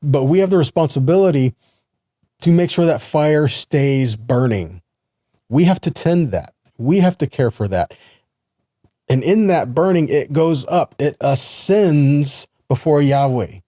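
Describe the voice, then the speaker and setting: Guy preaching and teaching from scripture, earnest, asks questions then answers them, kinda soft-edged tone, really dry recording. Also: a little high, animated, measured pace, no room sound. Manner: earnest, emphatic, explanatory, with rhetorical questions. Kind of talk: sermon teaching with scripture readings.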